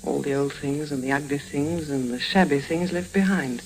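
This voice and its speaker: A woman's voice: low-pitched, normal speed, low-quality recording. An actress playing a headstrong, romantic upper-class young lady in a dramatic old radio play style. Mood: dreamy. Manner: impulsive, dramatic. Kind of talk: 1950s radio drama acting.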